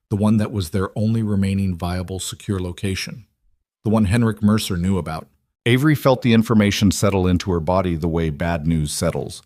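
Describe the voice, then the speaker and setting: A man reading scripted suspense fiction as an audiobook narrator, in a measured, deliberate manner. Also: on the low side, a little mellow, no room sound, studio quality.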